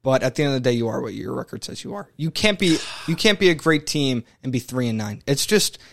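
A man's voice: mid-range voice, expressive, fast talker, creaky. A young man co-hosting a casual sports talk show, arguing his points emphatically with frequent restarts. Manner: argumentative, emphatic, rambling restarts. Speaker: sports podcast co-host.

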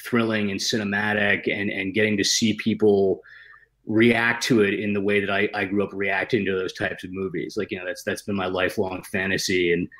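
Male panel guest, slightly low pitch, loose slangy chat with self-deprecating humour. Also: level tone, a little fast, a bit bright, poor audio. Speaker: guest screenwriter. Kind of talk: casual chat.

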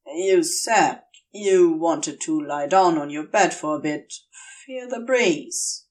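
A woman's voice: low voice, slow pace, recorded from a distance, pitched below the performer's own voice. A woman voicing a guarded, strained male demon who coaxes in clipped phrases as a caretaker in an audio story. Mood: coaxing.

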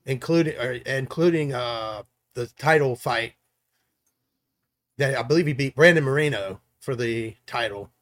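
A man co-hosting a casual sports chat, enthusiastic and hedging as he corrects himself. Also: medium pitch. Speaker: co-host picking fights.

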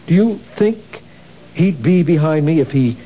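A man's voice: on the high side, animated, unhurried. An actor playing a worried husband who presses his wife to go to the police, in a 1970s radio drama. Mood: earnest. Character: worried middle-aged husband, city official. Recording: dull old radio broadcast with hiss.

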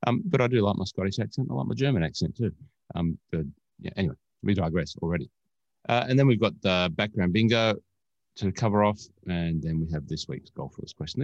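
A man's voice: low voice, animated, quick pace, no echo, muffled recording. An Australian man co-hosting a casual podcast, chatting in a rambling, jokey way that often digresses. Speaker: podcast co-host. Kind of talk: casual chat.

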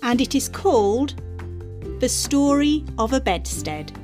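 A woman's voice: sits high, sing-song, a little slow, over music. A woman hosting a readings podcast, chatting in a wry, understated way as she introduces pieces.

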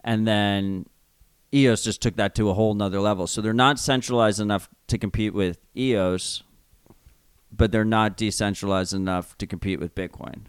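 A man's voice: fairly low pitch, fairly even intonation, moderate pace, smooth, no echo, clean studio sound. A man with a New York accent, co-hosting a casual podcast, speaking bluntly and swearing now and then. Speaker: podcast co-host.

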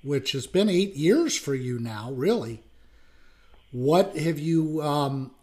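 A man who teaches college classes and hosts an interview, talking in a relaxed, chatty way with plenty of fillers. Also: on the high side, slow pace. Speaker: host and college instructor. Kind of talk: casual interview.

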